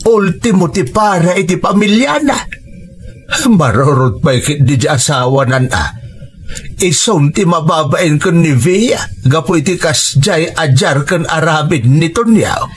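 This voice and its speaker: A man's voice: on the high side, expressive, unhurried, husky. A man playing an indignant, protective father of grown children in a family drama.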